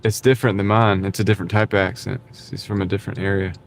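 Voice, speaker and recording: A man's voice: sits low, little pitch movement, fast, gravelly. A man chatting casually with viewers on a livestream while driving for deliveries, greeting people and chuckling often. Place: inside a car on the road. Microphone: small wireless clip-on mic. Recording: car road noise in background.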